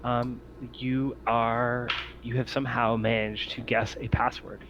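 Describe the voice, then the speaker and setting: A man improvising narration as the game master of a tabletop roleplaying game, speaking haltingly with many ums and pauses. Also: mid-range voice, little pitch movement, dull audio, noisy background. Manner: halting, hesitant delivery full of long ums and pauses.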